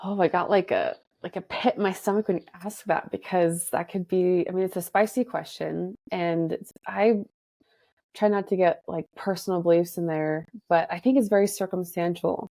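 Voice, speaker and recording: A woman therapist in her thirties speaks in a mellow, slightly low voice. She is reflective and careful, weighing her points as she goes. The recording is dry. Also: steady tone, normal speed, no room sound. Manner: thoughtful, reflective, hedging. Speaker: therapist guest. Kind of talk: casual podcast conversation.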